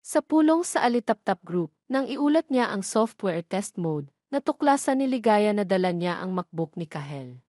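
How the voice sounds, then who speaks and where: A woman's voice: medium pitch, big pitch swings, moderate pace, mellow, no room sound. Woman narrating, close dry sound. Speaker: story narrator. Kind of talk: scripted narration of a romance drama.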